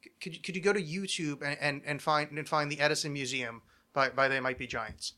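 A man's voice: fairly high pitch, steady tone, a little fast, sharp tone. A man hosting a podcast with casual comedic banter, rambling and sardonic, swearing freely. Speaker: podcast host.

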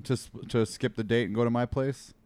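A man's voice: medium pitch, steady tone, brisk, a little mellow, smooth, no echo. A man bantering crudely with friends, joking, laughing often and slipping into mock voices.

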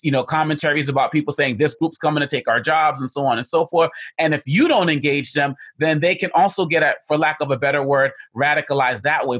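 A man's voice: fairly high pitch, a bit bright. A man answering interview questions earnestly, stressing his points by repeating key phrases. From United States. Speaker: guest, educator on hate and extremism.

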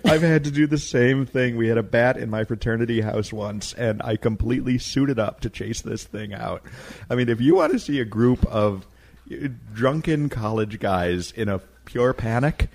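A man hosting a talk show with jokey, animated banter and conversational interviewing. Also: mid-range voice, normal speed. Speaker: radio talk show host.

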